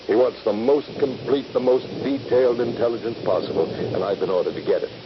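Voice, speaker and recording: An actor playing a stern Union army captain in a 1970s radio drama, speaking with formal, commanding military phrasing. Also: male voice, medium pitch, a little fast, close to an everyday voice. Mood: grave, commanding. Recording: muffled, noisy old radio broadcast.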